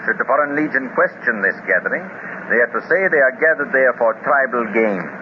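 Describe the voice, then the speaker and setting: A man's voice: medium pitch, animated, natural voice. A man voicing a pompous, gloating master villain. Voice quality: slow, measured, gloating delivery. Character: scheming male master villain, pompous and menacing. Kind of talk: 1930s radio serial acting. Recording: hissy, dull 1930s radio transcription.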